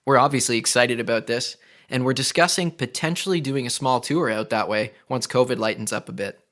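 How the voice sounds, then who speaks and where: A man hosts his own podcast segment as a musician. His voice sits at a medium pitch. He talks in the first person, as in a personal update. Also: brisk, fairly bright tone.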